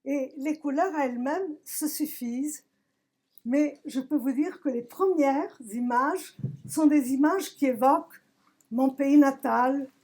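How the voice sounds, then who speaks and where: A woman from southern Italy, a poet giving a reflective talk about her work, searching for words with emphatic pauses. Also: high voice, a little mellow. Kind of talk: reflective talk at a poetry recital. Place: public library event.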